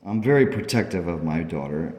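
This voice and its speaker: An actor playing a grieving, devoted father who narrates a radio play in a halting, earnest, sorrowful way. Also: deep voice, animated, warm tone. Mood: uneasy, reflective. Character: grieving, devoted father recounting his daughter's illness. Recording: long reverb.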